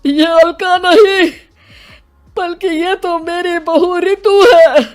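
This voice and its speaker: A woman's voice: on the high side, lively intonation, slow pace, mellow. A woman playing a grieving, weary old father in a dramatized story, speaking plaintively and respectfully. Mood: anguished. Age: older. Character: grieving, weary elderly father, polite and sorrowful. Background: music.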